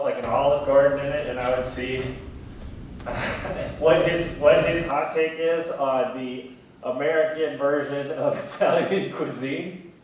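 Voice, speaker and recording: A man in his thirties chatting casually in an interview, with a wry, gently self-deprecating way of talking. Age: 30s. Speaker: museum communications specialist, interviewee. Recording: very echoey room, distant mic, faint rumbling noise.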